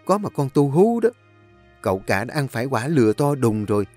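A man's voice: medium pitch, very animated, brisk, a little mellow, soft background music. A man voicing a nosy servant girl gossiping and mocking. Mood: gossipy. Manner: gossipy, sneering.

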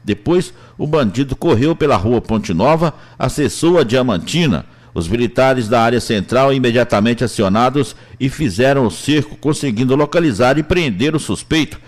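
A man with a Brazilian accent reading scripted police news reports as a reporter. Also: medium pitch.

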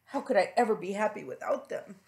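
A woman's voice: medium pitch, expressive, brisk, gravelly, darkish tone. Woman playing a vain, dramatic storybook fish. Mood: dismayed. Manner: self-important, dramatic. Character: proud, vain male fish with shining scales. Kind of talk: children's storybook read-aloud.

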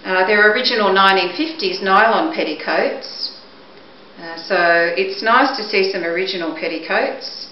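A middle-aged woman presenting items for auction in a measured, matter-of-fact way, speaking to a camera a few steps away indoors. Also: medium pitch. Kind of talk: product showcase, descriptive talk. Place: indoors, living or dining room at home. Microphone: camera microphone a few steps away. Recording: echoey room, dull muffled sound, background hiss.

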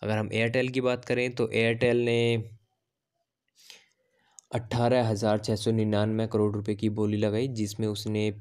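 A man's voice: lowish voice, completely flat delivery, a little mellow, clean tone. A man hosting his own channel, talking through auction figures shown on screen and reading lists of regions and numbers. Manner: reads slowly and haltingly in English passages; lists circles and figures off slides. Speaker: channel host. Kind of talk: explainer reading documents on screen. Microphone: voice-over over slides.

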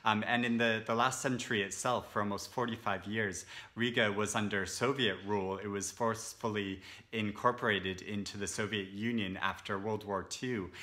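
A man's voice: on the low side, fairly even intonation, normal speed, hardly any pauses, pushed and bright. A man in his thirties talking casually to a camera from a sofa, rambling with many fillers and restarts. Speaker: book vlogger. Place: indoors, seated on a sofa.

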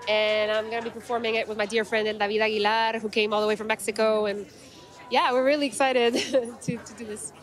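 A woman from Guatemala in her thirties, talking into a handheld mic held out by an interviewer on an awards red carpet. Her voice sits a bit high, and her answers come easy and warm with small laughs. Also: level tone, a little fast, faint music behind. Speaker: nominated musician guest.

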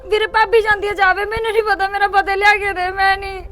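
A woman's voice: high-pitched, fast talker, a bit bright. A woman playing a weeping young sister. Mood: tearful. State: crying.

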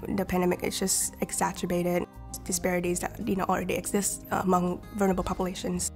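20s woman from vietnam, native vietnamese, fry-heavy voice, casual with lots of likes, soft music under. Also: lowish voice, monotone, quick pace. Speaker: scholarship recipient. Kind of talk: documentary interview. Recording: light music behind.

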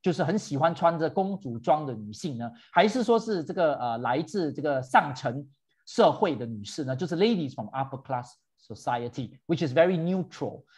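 A man's voice: a little high, expressive, normal speed, a little mellow, husky. A man hosting a virtual tour for seniors, chatting animatedly and switching between English and Mandarin. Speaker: host.

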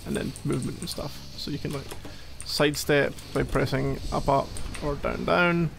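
A needy young boyfriend played by a man in an ASMR roleplay, talking over clicky background noise. His voice is fairly high and crackles with fry. He stumbles through casual explanations, teasing and mildly exasperated. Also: fairly even intonation. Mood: casual explaining. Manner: halting, teasing, mumbly asides while playing a game.

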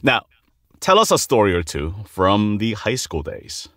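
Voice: sits low, big pitch swings, a little slow, a bit bright, no room sound, clean studio sound. A podcast host interviewing casually, with a playful, reactive and exclamatory way of talking.

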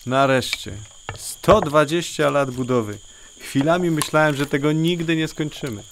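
A man playing a weary but steady adult son in a children's audio drama. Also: on the high side, normal speed, slight background noise. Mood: weary, reassuring.

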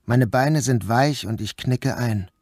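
A man's voice: mid-range voice, slow pace, darkish tone, no room sound, studio quality. An actor playing a troubled man who narrates his dreamlike ordeal in a radio play. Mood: grave, intense. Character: troubled male first-person narrator recounting a dreamlike ordeal. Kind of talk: first-person audio drama narration.

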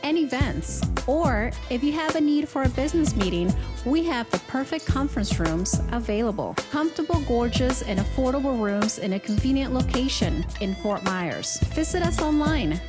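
A woman reading a hotel advertisement as an upbeat sales pitch. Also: lively intonation, moderate pace, hardly any pauses. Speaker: ad voice-over. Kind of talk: read advertisement. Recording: voice-over over loud music.

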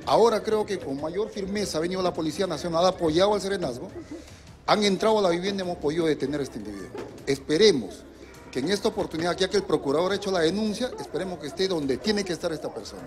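Middle-aged Peruvian man, high and quick, earnest official with restarts, music under the street interview. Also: animated. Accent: Peruvian Spanish. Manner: earnest, hesitant with fillers and restarts. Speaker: municipal security manager. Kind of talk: live TV news interview. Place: outdoors on a city street. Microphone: handheld reporter mic.

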